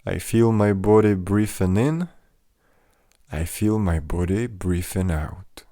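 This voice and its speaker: A man with a fairly low voice guides a mindfulness meditation. He speaks very slowly and gently, giving measured instructions. The sound is dry, with no room echo.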